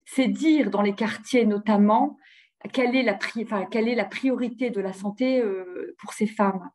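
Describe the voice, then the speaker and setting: A woman's voice: mid-range voice, moderate pace, a little mellow. A woman giving an informal webinar slide presentation, often hesitating and repeating words as she looks for her phrasing. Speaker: presenter of local health programmes.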